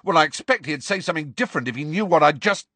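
A man voicing a hot-tempered teenage boy in an audiobook, rough, urgent and defiant. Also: a little high, animated, fast, pushed and bright, dry recording, voice pushed up. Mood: curt, rough. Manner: rough, aggressive, emphatic delivery. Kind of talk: audiobook character voice.

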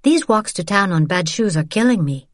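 A woman's voice: fairly low pitch, expressive, normal speed, no room sound, studio quality. A woman voicing a tired, blunt and defiant young woman who speaks sharply and firmly. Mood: defensive. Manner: sharp, exasperated, firm.

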